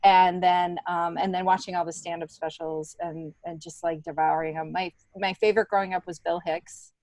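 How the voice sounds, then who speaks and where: A middle-aged American woman, a stand-up comedian being interviewed, telling stories casually with an animated, emphatic delivery. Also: lowish voice, moderate pace, no echo, poor audio. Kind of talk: casual conversational storytelling.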